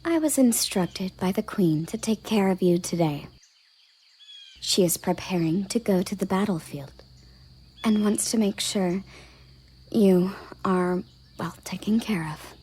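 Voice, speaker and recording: A woman playing a stern, haughty elven guard captain in an audio roleplay, with a soft, breathy, husky voice and a curt, condescending manner. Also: medium pitch, unhurried, long pauses. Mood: curt, dutiful. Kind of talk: audio roleplay addressed to the listener.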